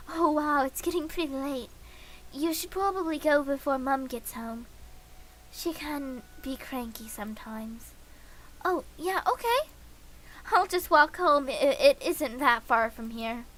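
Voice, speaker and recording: Very high-pitched, slow-paced young-sounding woman streamer. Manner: gushing, playful, expressive reading. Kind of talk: live game stream, reading visual novel dialogue aloud.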